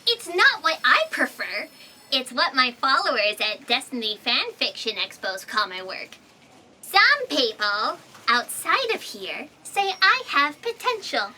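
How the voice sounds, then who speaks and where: A woman's voice: high voice, sing-song, a little slow, pushed and bright, heavily acted, low-quality recording. A woman playing an anxious, eager, chattering alien office fact checker in a comedic sci-fi audio drama. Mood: eager, chatty. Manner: chattering, breathless, overwrought questioning.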